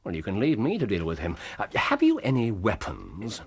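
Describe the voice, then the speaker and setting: A brainy english victorian detective in a radio drama, husky voice, very animated, precise and sure of himself. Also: male voice, on the low side, normal speed, heavily acted. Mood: brisk, assured. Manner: theatrical, erudite, precise. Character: brilliant, excitable, self-assured male Victorian detective. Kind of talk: British radio drama acting.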